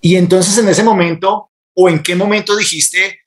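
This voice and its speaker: A man with a Colombian accent and a high-pitched voice hosts a podcast interview. He talks informally and strings several questions together. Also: steady tone, normal speed.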